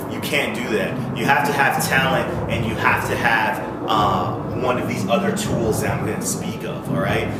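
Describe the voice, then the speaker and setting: A man giving an informal, blunt instructional talk as a coach, explaining points and often checking in with the listener. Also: mid-range voice. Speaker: music industry coach, video host. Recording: roomy echo with clear music underneath. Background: music.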